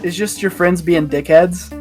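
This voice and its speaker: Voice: medium pitch, fairly even intonation, moderate pace, darkish tone. A person chatting casually on a podcast panel, riffing and speculating in a joking, rambling way. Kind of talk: casual joking group chat. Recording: close dry voice over guitar music. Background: guitar music.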